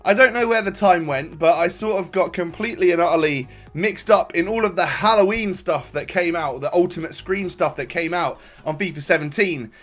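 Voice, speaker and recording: A man's voice: high voice, brisk, hardly any pauses, faint music behind. A young man with a stuffy, congested voice, giving rambling casual commentary over his own football gameplay. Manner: rambling, relaxed, frequent fillers and restarts. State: congested from a cold. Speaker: gaming YouTuber. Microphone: desk microphone.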